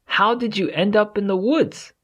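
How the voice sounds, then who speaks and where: A man voicing a kind, helpful deer in a children's storybook, gentle and friendly. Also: high-pitched, steady tone, moderate pace, pitched above the performer's own voice. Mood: friendly, curious. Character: kind, warm-hearted helpful deer in the woods. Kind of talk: children's storybook read-aloud.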